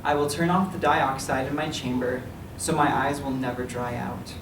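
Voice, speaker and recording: A man reads his writing aloud in a measured, even voice at a middle pitch. He sounds distant from the mic, the room echoes around him, and a clear hiss of noise sits underneath. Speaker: writer reading his work. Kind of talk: literary reading. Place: library meeting room.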